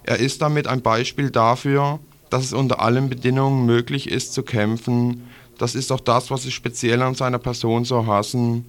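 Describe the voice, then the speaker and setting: A man presents an informal news report on radio in a medium-pitched voice. He has a southwestern German accent and sounds like a non-studied, everyday reporter. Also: level tone, moderate pace. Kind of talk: informal news report, partly reading from documents.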